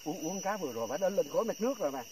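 A man's voice: high-pitched, sing-song, rapid-fire. A middle-aged man chatting jokingly to viewers from a pond bank, often repeating his words, picked up by a distant camera. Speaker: angler in a friendly contest, addressing viewers. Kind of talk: casual joking chat to viewers. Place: outdoors on a grassy pond bank. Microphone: camera a few metres away. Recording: distant outdoor camera, faint background noise.

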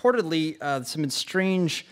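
A man playing a flustered, apologetic director who haltingly delivers breaking news in a live radio drama. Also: on the high side, expressive.

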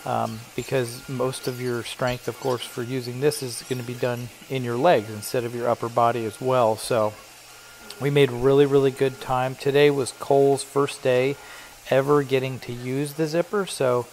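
A man's voice: medium pitch, little pitch movement, moderate pace, a little mellow, a little hiss or hum, rough recording. A middle-aged man who owns a cleaning business, giving a chatty, explanatory voiceover for his work vlog. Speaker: carpet cleaning business owner.

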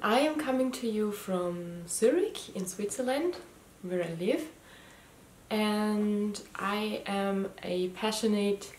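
A woman's voice: mid-range voice, darkish tone. Austrian woman, native german speaker, hosting her knitting vlog, lots of ums, echoey living room. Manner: hesitant, many ums and restarts. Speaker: knitting podcast host. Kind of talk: casual chat to camera. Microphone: camera mic, head and shoulders framing.

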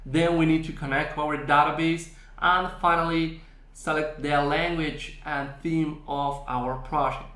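A man presenting a screen-recorded software tutorial, explaining step by step with frequent fillers. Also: on the high side, steady tone, a little slow. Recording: echoey room.

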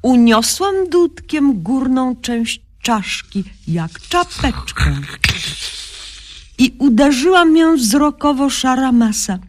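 A woman's voice: high voice, full character voice. An actress playing a self-important, cheerfully incompetent young woman doctor in a satirical radio sketch, mock-solemn and pompous, mangling learned words.

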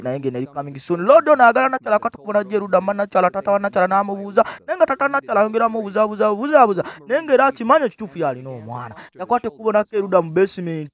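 A man hyping a movie as its narrating VJ, excitable and chuckling, repeating his catchphrases. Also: sits high. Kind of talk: hyped movie trailer narration.

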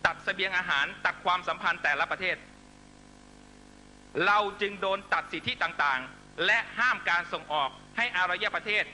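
A middle-aged man giving an impassioned political rally speech in emphatic, short measured phrases into a stage microphone. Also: high-pitched, sharp tone. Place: large night-time outdoor rally stage. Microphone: stand microphone on stage. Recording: noisy live rally public-address broadcast with hum.

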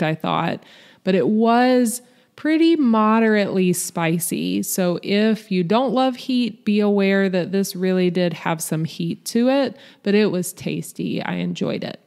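A woman's voice: lowish voice, a little mellow. A woman giving a scripted, matter-of-fact voice-over review of a restaurant meal. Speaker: vlog host, restaurant reviewer.

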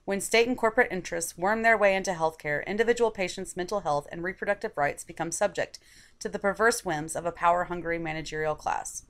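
A woman reading a scripted opinion article aloud in a measured, articulate way. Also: fairly low pitch. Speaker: article reader.

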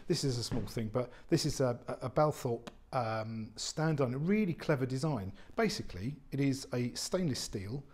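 A man, an estate agent giving an unscripted walk-through tour of a warehouse flat, chatty and full of fillers and restarts. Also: mid-range voice, normal speed, few pauses. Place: large warehouse flat, indoors. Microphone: handheld phone camera, speaker off screen.

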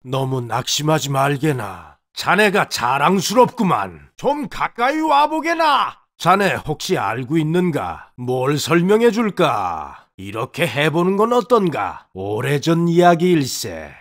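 A kindly old grandfather rancher from a video game. His voice sits on the high side for a man and swings very widely in pitch. He speaks slowly, in a hearty, folksy, encouraging manner. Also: fairly bright tone, full character voice. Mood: calling out. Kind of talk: video game character voice lines.

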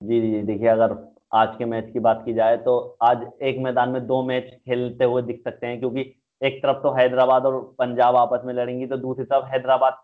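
A man's voice: medium pitch, completely flat delivery, moderate pace, few pauses. A young man giving conversational cricket match analysis over a video call. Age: young adult. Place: indoors, small room, video call. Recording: thin phone-like video call audio.

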